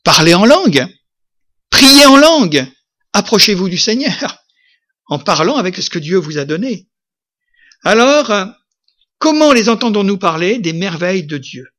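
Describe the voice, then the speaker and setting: A man preaching a sermon with emphasis, lifting his voice to loud peaks and then dropping it low. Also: big pitch swings, measured pace, long pauses. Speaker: pastor.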